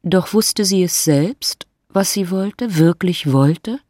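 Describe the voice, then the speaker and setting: Husky man narrating a literary audiobook. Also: high voice, takes it slowly, a little mellow, no room sound, clean studio sound.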